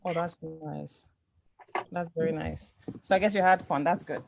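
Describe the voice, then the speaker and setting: A woman's voice: low-pitched, steady tone, moderate pace, creaky, a little mellow. A woman from Toronto, Canada, chatting casually as host of an online sewing group, often repeating short affirmations. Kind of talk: casual livestream chat. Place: indoors, on a group video call.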